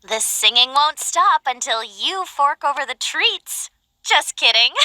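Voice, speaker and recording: A woman's voice: high voice, big pitch swings, slow, sharp tone, no echo, heavily acted. A woman voicing a confident, teasing young heroine in a fantasy video game. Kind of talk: video game character voice acting.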